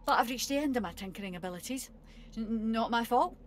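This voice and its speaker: A woman's voice: on the high side, lively intonation, quick pace, a little hiss or hum, lightly acted. A woman voicing a defensive, nervous female tinkerer as she reads game dialogue aloud in a co-op playthrough. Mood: guarded.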